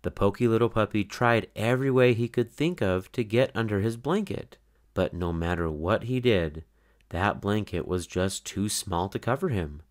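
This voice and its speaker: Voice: deep voice, moderate pace. A narrator reading a children's picture book aloud in a scripted storytelling style.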